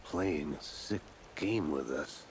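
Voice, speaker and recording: An actor playing a dry, skeptical veteran FBI agent in a video game, gravelly and deadpan, sparing with words. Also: male voice, rumbling low, takes it slowly, a little hiss or hum, exaggerated voice. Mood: skeptical, wry. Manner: deadpan, laconic. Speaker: detective partner character.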